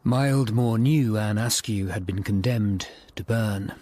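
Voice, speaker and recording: A man acting as a grave, observant Tudor lawyer, narrating his investigation in a measured way. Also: on the low side, a little mellow. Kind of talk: scripted first-person drama narration.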